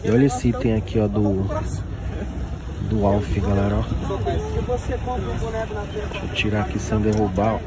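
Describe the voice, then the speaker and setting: A Brazilian man with a Brazilian Portuguese accent, filming a casual walkthrough of an outdoor flea market on a handheld phone and excitedly pointing things out as he goes. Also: on the low side, normal speed, long pauses, rough recording. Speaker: toy collector vlogger. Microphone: handheld phone camera. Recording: busy crowd noise outdoors. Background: market crowd chatter and traffic.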